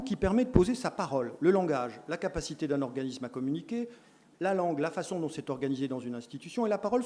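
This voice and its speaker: A middle-aged man lecturing teacher tutors, explaining points with lively emphasis and quick self-corrections. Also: on the high side. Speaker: lecturer in education sciences.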